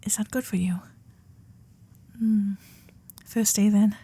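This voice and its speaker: A woman playing a shy, starry-eyed young Gilded Age heiress in an intimate romantic ASMR roleplay, breathy and often whispering, with genteel, hesitant manners. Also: mid-range voice, steady tone, warm tone. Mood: shy, tender. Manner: genteel, old-fashioned politeness, hesitant pauses.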